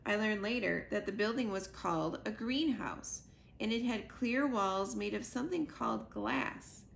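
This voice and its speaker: A woman's voice: medium pitch, very animated, unhurried, creaky, slight background noise. A woman reading a children's book aloud as a young goose earnestly telling his mother about his adventures. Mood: wide-eyed wonder.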